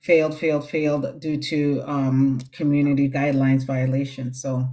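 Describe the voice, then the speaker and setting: Woman, low voice, live-stream host, reassuring, chatty, spiritual guidance. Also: little pitch movement, moderate pace, mellow. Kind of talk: casual live-stream chat. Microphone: phone on a live stream.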